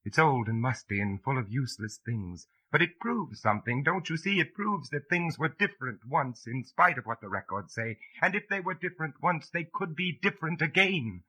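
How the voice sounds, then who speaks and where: Intense, husky dystopian rebel. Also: male voice, fairly high pitch, lively intonation, bright, forceful tone, heavily acted, poor audio. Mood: earnest, hopeful. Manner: intense, halting, earnest. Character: earnest, anxious male rebel in a dystopia. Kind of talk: old-time radio drama acting.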